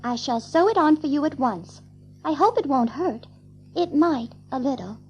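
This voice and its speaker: A woman's voice: high voice, animated, normal speed, a little mellow, highs cut off, a little hiss or hum. A woman playing a prim and proper, motherly young girl in an audio drama of a storybook. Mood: bossy.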